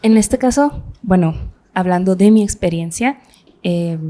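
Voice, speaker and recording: A woman from Guadalajara with a Mexican accent, speaking on an interpreters' panel. Her voice sounds mellow and warm at a middling pitch. She talks in an earnest, personal way. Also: measured pace.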